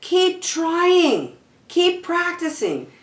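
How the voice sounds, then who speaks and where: A woman's voice: very high voice, huge pitch swings, very slow. A woman reading aloud expressively to young children as a storyteller, with emphatic, encouraging phrasing, recorded in an echoey room.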